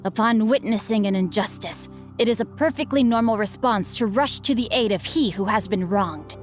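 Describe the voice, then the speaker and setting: A woman's voice: mid-range voice, normal speed, soft background music, lightly acted. A woman voicing a studious, refined teenage boy with a mischievous streak in a video game, eloquent and theatrical. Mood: composed, righteous.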